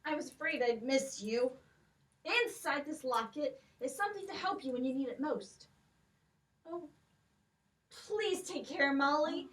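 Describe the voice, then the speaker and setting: A woman playing a shy young prince who stammers out his worries with a tender voice. He sounds slow and fairly high, from a distant mic in a puppet show. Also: lively intonation, a bit bright. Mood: anxious.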